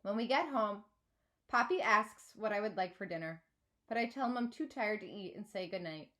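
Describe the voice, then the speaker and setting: A woman reading a children's novel aloud like a teacher, in an expressive storytelling manner. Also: medium pitch, normal speed. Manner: clear storytelling with acted dialogue.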